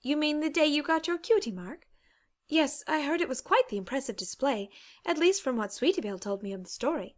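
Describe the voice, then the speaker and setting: Woman doing a posh-mannered girly pony lady, high voice, genteel and caring, kinda theatrical, audiobook character. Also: lively intonation, a little fast. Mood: politely advising. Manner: genteel, polite, theatrical phrasing. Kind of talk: dramatized audiobook character acting.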